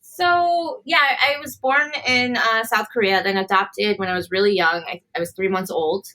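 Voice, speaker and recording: Woman chef from upstate ny, clear bright voice, lots of um and you know. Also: medium pitch, moderate pace. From upstate New York. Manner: thoughtful, hesitant, with fillers. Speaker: chef, guest. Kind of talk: casual interview.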